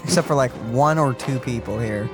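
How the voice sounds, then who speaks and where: A man's voice: mid-range voice, fairly quick, over music. A man giving casual co-host commentary in a let's play.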